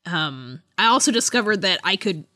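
A woman's voice: fairly low pitch, big pitch swings, normal speed, bright, forceful tone. A woman co-hosting a casual chat about books, often giggling as she talks. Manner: giggly, laughs while talking. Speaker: podcast co-host. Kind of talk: casual book-review chat.

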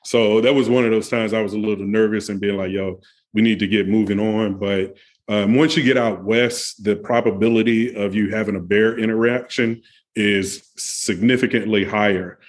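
A man guest on a video call, relaxed and telling stories with many fillers. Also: lowish voice, normal speed. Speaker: guest, camper.

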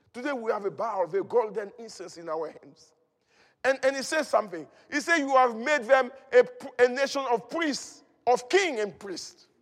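A man preaching a fervent charismatic sermon, emphatic and declamatory, repeating key phrases as he goes. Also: extremely high pitch. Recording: long hall reverb.

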